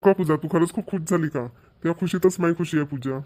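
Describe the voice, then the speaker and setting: A man voicing a doting young husband in a cartoon, gentle and indulgent toward his wife. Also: fairly high pitch, quick pace, natural voice. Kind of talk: cartoon voice acting.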